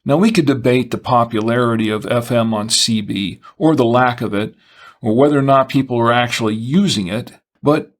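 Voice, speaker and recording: Man presenting in measured, deliberate phrases. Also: medium pitch, creaky. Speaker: presenter of a two-way radio show. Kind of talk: explanatory product commentary to an audience.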